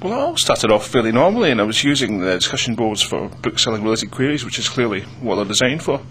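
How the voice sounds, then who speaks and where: A man's voice: mid-range voice, sing-song, quick pace, fairly bright tone. A man playing a remorseful British addict giving a hesitant, earnest confession in a mock documentary interview. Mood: rueful, confessing. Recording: noisy interview with hum.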